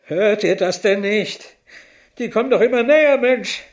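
A man's voice: sits high, normal speed, lightly acted. An actor playing a confused, dying old father in a radio drama, urgently reliving the war in fear. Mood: frightened, agitated. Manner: agitated, urgent.

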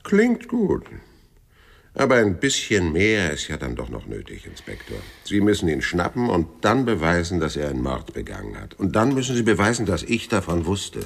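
An actor playing a cynical, world-weary male private detective in a radio crime drama, rough-voiced, dry and laconic. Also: low-pitched, huge pitch swings, normal speed, full character voice. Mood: defiant, sardonic. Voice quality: husky, rough. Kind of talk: radio crime drama with first-person narration.

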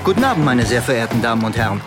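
An actor playing a formal television news anchor on election night, with polished scripted delivery. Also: male voice, mid-range voice, sing-song, racing, over loud music, close to an everyday voice.

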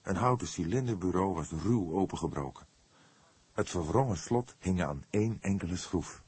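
A man's voice: deep voice, expressive, moderate pace, a little mellow, slightly rough, no room sound. A man narrating a crime novel as an audiobook, reading scripted prose with dramatic storytelling.

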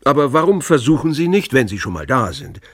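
A man's voice: mid-range voice, big pitch swings, a little fast, no echo, studio quality. An actor playing a coaxing male seminar instructor in a radio drama, speaking in an instructive, persuasive manner. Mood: coaxing, clinical. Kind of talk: naturalistic radio drama acting.

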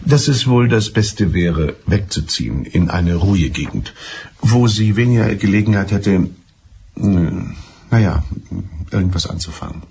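An actor in a German radio drama playing a mild, evasive, smugly clever betrayed husband who talks in a deliberate, circuitous way. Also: male voice, low voice, lively intonation, darkish tone, slight background noise. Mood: wistful, matter-of-fact.

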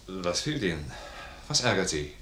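Low-voiced, loyal sergeant. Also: male voice, very animated, normal speed, bright, forceful tone, echoey room, full character voice. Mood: puzzled, eager. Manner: plain-spoken, earnest, insistent, theatrical delivery. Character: loyal, blunt, warm-hearted army sergeant, devoted to his major. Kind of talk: German classical stage comedy as radio play.

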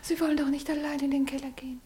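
A woman acts a mysterious lady in dread of her fate in a radio play. Her high voice is breathy and solemn, full of anguish and melodrama. Faint noise sits behind it. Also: level tone, racing, dark tone, lightly acted. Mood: pleading. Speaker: actress in a radio drama. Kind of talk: German radio play acting.

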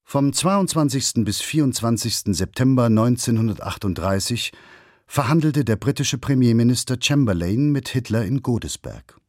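A man's voice: mid-range voice, animated, normal speed, darkish tone, dry recording. Man narrating history in a dry studio. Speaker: documentary narrator.

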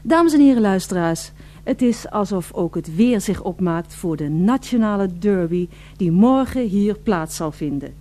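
A woman's voice: medium pitch, expressive, a little slow, mellow, close to an everyday voice. An actress playing an eager, polite female radio reporter in a radio drama, with formal, florid and emphatic delivery. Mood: grand, enthusiastic.

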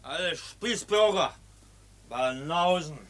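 An actor playing a drunken, aggressive and pleading former lover in a German radio play, insistent and blustering. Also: male voice, fairly high pitch, lively intonation, slow pace, fairly bright tone. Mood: belligerent.

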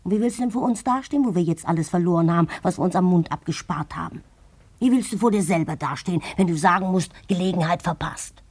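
A worried, embittered wife in a radio drama. Her voice is husky and rough-edged, and she presses her husband with insistent reproach. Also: fairly low pitch, quick pace, darkish tone. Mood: bitter, urging. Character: anxious, bitter, pressing wife of a clerk. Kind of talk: German radio play acting.

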